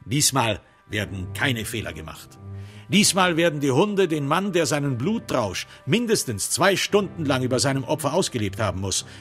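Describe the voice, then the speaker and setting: A man's voice: mid-range voice, big pitch swings, moderate pace, fairly bright tone, soft background music. A man narrating a literary crime radio play in a sardonic, theatrical storytelling manner.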